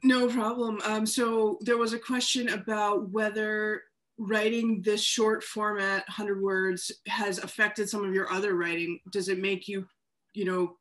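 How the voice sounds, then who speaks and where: A woman with a medium-pitched voice moderates a panel casually. Her delivery is informal and a little tentative as she passes questions to the guests. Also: little pitch movement, measured pace, a bit bright, rough recording.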